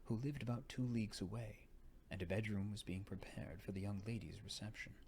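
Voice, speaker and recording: A man with a fairly low voice narrates an old gothic tale. His delivery is theatrical and measured as scripted storybook reading. Faint noise runs behind him. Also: a little mellow. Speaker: audiobook reader.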